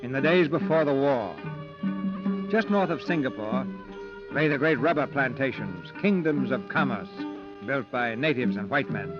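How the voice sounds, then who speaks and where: A muffled vintage radio broadcast with faint music behind. A man with a medium-pitched voice hosts and narrates formally, polished and declamatory in 1940s style. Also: expressive, normal speed. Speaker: radio theater host.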